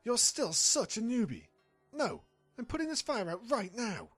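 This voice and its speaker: Bossy cartoon pony reporter, played by a man: scolding schemer for an amateur audio drama, very animated, rough-edged. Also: very high voice, moderate pace, soft background music, heavily acted. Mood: alarmed, exasperated. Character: sneaky, bossy male pony reporter, obsessed with scoops.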